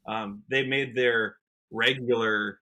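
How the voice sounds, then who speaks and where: Man, American accent, bright tone, mid pitch, casual podcast guest, earnest, enthusiastic. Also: steady tone, takes it slowly. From United States, Washington state. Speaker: podcast guest, country musician.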